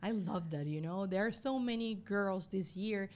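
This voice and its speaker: A woman's voice: medium pitch, normal speed, a little mellow. A woman with a Spanish accent hosting a casual livestream interview, chatty and giggly, rambling with lots of fillers. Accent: Venezuelan Spanish.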